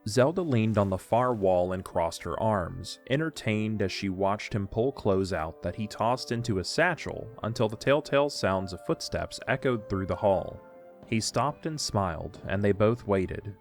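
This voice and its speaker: A man's voice: on the low side, lively intonation, a little slow, faint music behind. A man narrating a scripted story for an audio drama.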